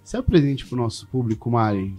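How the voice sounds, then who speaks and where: A man's voice: mid-range voice, very animated, brisk, a little mellow, faint music behind. A Brazilian man hosting a podcast, asking questions in a playful, teasing way. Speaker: podcast host. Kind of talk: casual podcast interview.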